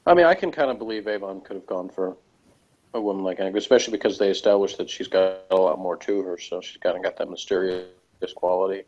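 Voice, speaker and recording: A man's voice: deep voice, fast talker, darkish tone, highs cut off. A man in a casual review chat, speaking in tentative, meandering sentences over an online call. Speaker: co-host or guest reviewer.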